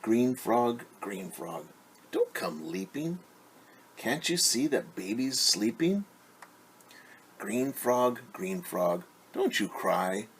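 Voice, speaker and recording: A man voicing an anxious mother from a storybook, pleading in a sing-song, drawn-out way with hushed shushing for quiet around her baby. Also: medium pitch, sing-song, a little hiss or hum. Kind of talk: storybook read-aloud, rhythmic refrain.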